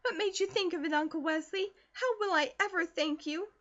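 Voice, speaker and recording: A woman voicing an eager, effusive teenage farm girl who talks in breathless, high rushes, on a dull lo-fi recording. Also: fairly bright tone. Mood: overjoyed.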